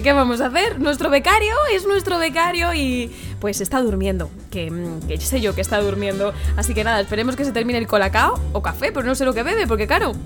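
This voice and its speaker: A middle-aged woman from Madrid, hosting live morning radio and teasing her guest, laughing often. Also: on the high side. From Madrid, Spain. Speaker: radio host.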